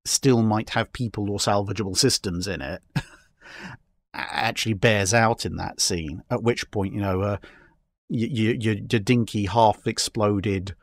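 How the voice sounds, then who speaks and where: A man in a casual discussion, explaining his speculative ideas in a halting way with repeated words. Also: fairly low pitch, steady tone. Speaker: podcast guest.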